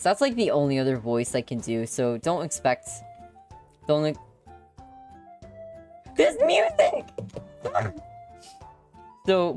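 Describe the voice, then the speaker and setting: A woman livestreaming visual novel readings, chatting casually in an excitable, exclamatory way. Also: rumbling low. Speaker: streamer.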